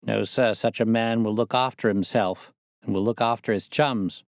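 A man's voice: on the low side, normal speed, no room sound, clean studio sound, natural voice. A man reading a story aloud, voicing a boastful, swaggering young male convict who leads a plot. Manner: boastful, coarse, excitable.

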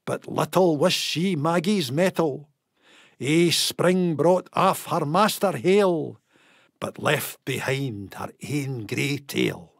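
A man with a Scottish accent giving a theatrical, expressive dramatic recitation of a narrative poem. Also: a little high, slow, creaky, no echo, studio quality. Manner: theatrical, expressive storytelling delivery. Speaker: poetry reader.

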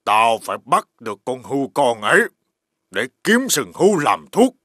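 A man voicing a greedy, bad-tempered older master in an animated folk tale, scolding and bossing in an exaggerated way. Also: mid-range voice, moderate pace, no room sound, studio quality. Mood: scheming.

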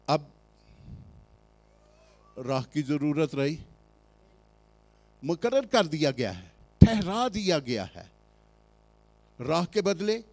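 A male pastor with a Pakistani Urdu accent, preaching a sermon with emphatic rhetorical questions and frequent hesitant pauses. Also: fairly high pitch, a little mellow.

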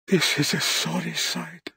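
An actor playing a haunted, guilt-stricken nobleman in a theatrical Shakespearean drama, husky, breathy, tense and halting. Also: a little high, fairly even intonation, pushed and bright, no echo, full character voice, poor audio. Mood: fearful.

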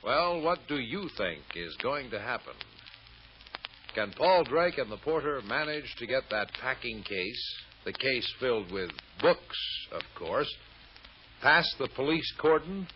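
A man's voice: medium pitch, sing-song, a little slow, a little hiss or hum. A man narrating as a radio serial announcer in old-time style, posing teasing questions with theatrical suspense. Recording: dull old radio recording.

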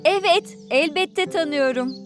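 A woman voicing a kind, playful young fairy-tale girl in a children's cartoon, sweet and expressive. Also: extremely high pitch, measured pace. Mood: friendly. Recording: light music and birdsong behind.

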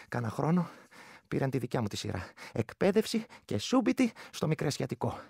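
A man's voice: a little high, creaky, no echo, studio quality, exaggerated voice. A man playing a rural Greek village soldier in a radio play, recounting his terror in earthy dialect with a rural accent. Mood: resigned, earthy. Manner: earthy dialect storytelling, shifting between hush and outburst. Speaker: actor. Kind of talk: dramatic first-person storytelling in a radio play.

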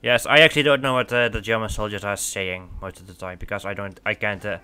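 A man's voice: on the low side, fairly even intonation, fast, sharp tone, clear, resonant tone. A young Dutch man, speaking English as a second language, casually commenting while he plays a shooter, rambling with lots of fillers and restarts. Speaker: gaming channel host. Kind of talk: casual let's play commentary.

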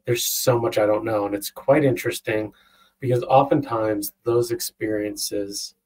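A middle-aged man explaining things conversationally as an interview guest, with a slightly husky voice, over a video call. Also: on the low side, fairly even intonation, measured pace, a little mellow, distant mic, rough recording. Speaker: interview guest, company founder. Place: indoor room on a video call. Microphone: wireless earbuds.

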